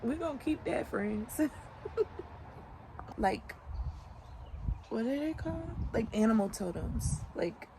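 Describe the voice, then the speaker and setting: A woman's voice: fairly high pitch, takes it slowly, long pauses, background noise. A woman in her late twenties chatting casually and reflectively outdoors in the country, rambling with lots of fillers. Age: late 20s. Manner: relaxed, rambling, many "like" fillers. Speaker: vlogger. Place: outdoors on a sunny morning in the country. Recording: clear outdoor ambience behind.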